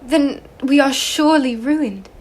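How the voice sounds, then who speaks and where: A young woman acting an anxious, excitable wife on a school stage, breathless and fretful. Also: high-pitched, unhurried. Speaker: stage actor.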